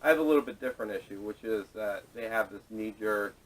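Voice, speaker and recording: A man's voice: on the low side, fast talker. A man hosting a casual panel discussion, talking in a hesitant, rambling way, picked up by a camera microphone. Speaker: podcast host. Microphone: distant camera microphone. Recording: distant camera audio, noisy.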